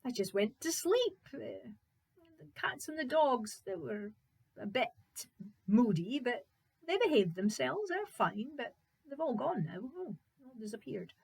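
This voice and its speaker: A woman voicing a cheerful, unflappable young man from a folk tale, polite and matter-of-fact, gently bossy. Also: a little high, sing-song, measured pace, gravelly, husky, heavily acted. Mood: breezy, unbothered.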